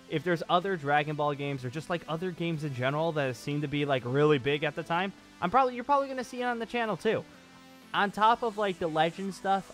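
A man's voice: a little high, a bit bright. A man in his twenties chatting casually and informally in a rambling channel update, as a gaming YouTuber. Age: 20s. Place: small home studio room with acoustic foam. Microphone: desk microphone on boom arm. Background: clear background music.

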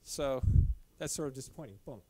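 Middle-aged guy presenting slides in a dark lecture room, stops and restarts a lot, sounds a bit off-mic. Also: mid-range voice, animated, breathy edge, dry recording, rough recording. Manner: loose, halting, frequent restarts and fillers. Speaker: caving club presenter. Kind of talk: conference slide talk. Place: dim lecture room with projector screen.

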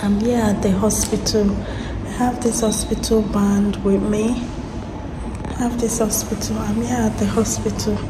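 Hoarse woman vlogging in an echoey hospital. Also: mid-range voice, level tone, unhurried, background noise. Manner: chatty, explains step by step. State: hoarse with sore throat. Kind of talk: casual vlog to camera. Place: hospital waiting area, indoors. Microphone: phone at arm's length. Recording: echoey room, loud background ambience.

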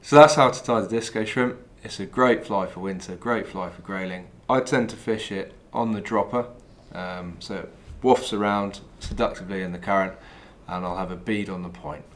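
A middle-aged man from England calmly talking through each step of tying a fishing fly while he works with his hands. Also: deep voice, normal speed, few pauses. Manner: calm, practical, talks while working with his hands. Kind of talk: step-by-step demonstration. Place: wooden lodge room, indoors.

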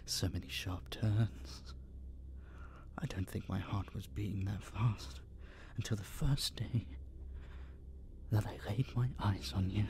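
A man playing an obsessive, doting yet menacing masked kidnapper in an audio roleplay, speaking softly and tauntingly to his captive. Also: on the low side, steady tone, gravelly, slight background noise. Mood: hushed, gloating. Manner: soft, taunting, condescending, drawn-out murmurs and whispers. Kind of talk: intimate audio roleplay monologue addressed to the listener.

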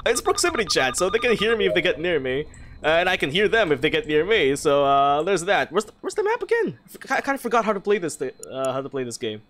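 A man chatting casually and excitably as he streams a game, often breaking into laughter. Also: on the high side, fast. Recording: clear background noise. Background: game sound and group voice chat.

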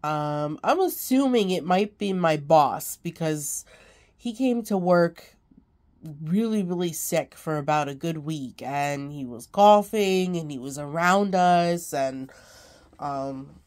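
Congested low-voiced woman vlogger. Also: animated, slow, darkish tone. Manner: rambling, many ums, laughs between lines. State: congested, sick. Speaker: craft vlogger. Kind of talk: casual chat to camera.

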